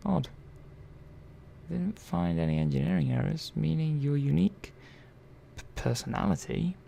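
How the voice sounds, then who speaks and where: Man playing a soft, creaky-voiced robot technician. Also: a little high. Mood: curious, puzzled. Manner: unhurried, murmuring, thinking aloud with hesitant asides. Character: curious, gently chatty robot repair technician. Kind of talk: soft-spoken ASMR roleplay. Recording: faint background noise.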